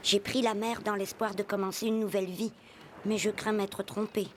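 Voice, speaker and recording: A woman's voice: mid-range voice, level tone, moderate pace, a little mellow, a little hiss or hum, close to an everyday voice. A woman playing an anxious, earnest young sailor boy in a French children's cartoon. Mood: worried. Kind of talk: children's cartoon dub acting.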